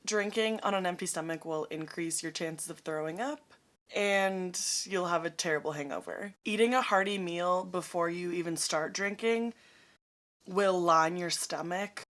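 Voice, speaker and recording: A young woman with a raspy voice, chatting through a how-to vlog in an upbeat, self-mocking way. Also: fairly low pitch, normal speed, fairly bright tone. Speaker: vlogger.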